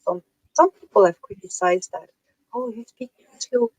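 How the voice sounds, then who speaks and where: A soft-spoken woman with a French accent, native French speaker, talking slowly as a guest on a video call and pausing to find her words. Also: mid-range voice, dry recording, low-quality recording. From France. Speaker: guest author.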